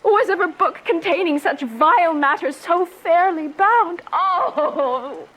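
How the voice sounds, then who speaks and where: A woman playing an anguished, lovestruck young noble bride in a radio Shakespeare tragedy, with impassioned, drawn-out verse delivery. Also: extremely high pitch, expressive, a bit bright, heavily acted, poor audio. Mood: shocked anguish.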